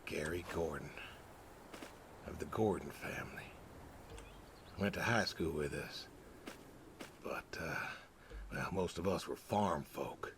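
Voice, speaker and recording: An actor playing a weary, wistful older American pig farmer and war veteran in a video game, talking in a slow, halting, reflective way. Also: male voice, very deep voice, background noise, full character voice. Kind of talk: understated naturalistic game acting.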